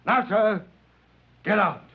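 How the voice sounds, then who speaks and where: High-pitched, furious spaceship captain. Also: male voice, slow pace, slight background noise. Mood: furious, threatening. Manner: shouting, formal, threatening. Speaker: ship's captain. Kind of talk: old-time radio drama acting. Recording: dull old radio recording.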